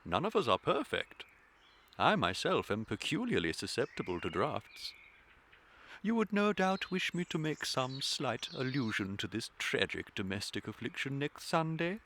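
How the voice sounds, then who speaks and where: A man's voice: mid-range voice, very animated, fairly bright tone, a little hiss or hum. An actor playing a fussy, kindly middle-aged English clergyman in a radio comedy, pompous and unctuous in manner. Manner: unctuous, deliberate, sermonising. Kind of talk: period stage comedy radio acting.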